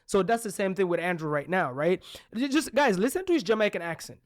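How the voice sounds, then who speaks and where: A man's voice: high voice, animated, brisk, no echo, clean studio sound. A man from Belgium co-hosting a podcast, coaching listeners in an emphatic, punchy way full of rhetorical questions. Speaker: podcast co-host. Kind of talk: real estate coaching talk.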